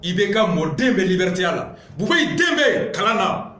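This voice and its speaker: A man's voice: high-pitched, big pitch swings, moderate pace, pushed and bright, reverberant. A man with a Malian accent delivering an impassioned political monologue, emphatic and indignant, hammering points through repetition. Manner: emphatic, indignant, repetitive rhetorical build-ups. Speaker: political commentator. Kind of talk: impassioned political monologue mixed with reading aloud.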